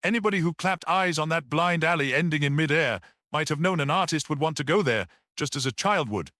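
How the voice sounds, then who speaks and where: A man's voice: a little high, lively intonation, a little fast, pushed and bright, no echo, studio quality, pitched above the performer's own voice. A man voicing an earnest priest-detective in an audiobook, explaining things with rhetorical passion. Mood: explosive, insistent. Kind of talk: dramatic audiobook character reading.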